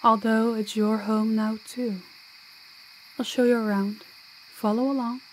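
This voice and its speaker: A woman playing a dominant, cold mafia boss in a roleplay audio, speaking to the listener in a deliberate, condescending, commanding way. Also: fairly high pitch, little pitch movement, a little hiss or hum, close to an everyday voice, poor audio. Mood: cool, commanding.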